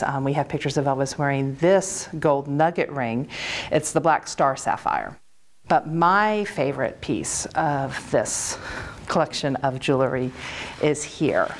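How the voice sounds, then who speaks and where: Low-voiced woman presenting collectibles. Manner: steady, measured, lightly hesitant with fillers. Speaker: auction presenter. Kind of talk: informative walkthrough of items. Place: conference room.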